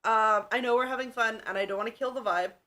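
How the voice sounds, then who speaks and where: A woman's voice: on the high side, fast talker, bright, forceful tone. Giggly young woman with a South African accent. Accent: mixed South African. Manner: giggly, chatty, swears freely. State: tired. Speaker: livestream host. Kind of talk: casual live chat with viewers.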